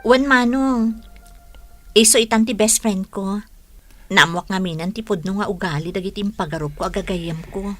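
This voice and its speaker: A woman's voice: mid-range voice, normal speed, long pauses, faint music behind, lightly acted. A woman playing a troubled but helpful young student and grateful friend in a radio drama. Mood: friendly.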